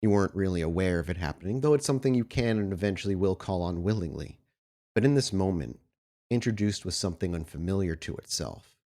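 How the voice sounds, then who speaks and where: A man with a fairly low voice runs a tabletop horror game as its storyteller. He explains and describes things in deliberate, dramatic phrases, speaking as the game master to his players. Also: darkish tone.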